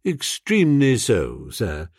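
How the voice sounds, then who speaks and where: A man voicing a discreet, deferential English valet in an audiobook, formal, measured and deadpan. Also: mid-range voice, slightly rough, no echo, studio quality, exaggerated voice.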